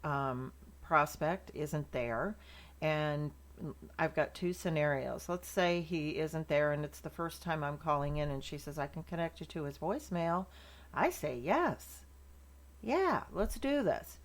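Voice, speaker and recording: A middle-aged American woman with a notably low voice talks casually to her viewers. She comes across as a sales trainer, chatty and rambling, leaning on her points with emphasis. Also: expressive, unhurried. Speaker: sales trainer hosting a morning segment. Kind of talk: casual motivational talk to viewers.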